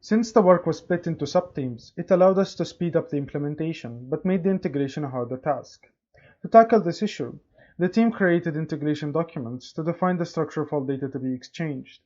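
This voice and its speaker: A man's voice: fairly high pitch, fairly quick, darkish tone. A young man, a student presenter, reading a scripted technical report. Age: young adult. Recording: slightly dull, lo-fi microphone.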